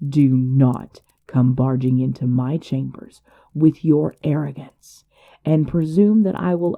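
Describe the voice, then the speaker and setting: A woman voicing a commanding female vampire clan leader, possessive and tender, in a dark, solemn ASMR roleplay, often dropping into a whisper. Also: rumbling low, vocal fry, breathy edge, narrow, tinny audio, heavily acted. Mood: cold, stern.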